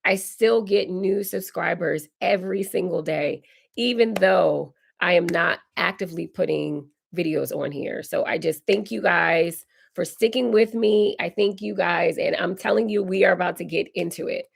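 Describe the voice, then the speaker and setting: Raspy lady in her 40s still getting over being sick, low gravelly voice, chatty live q&a. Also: moderate pace. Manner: chatty, reads viewer comments aloud and answers warmly. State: hoarse, recovering from illness. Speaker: decor channel host. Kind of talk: live stream Q&A chat.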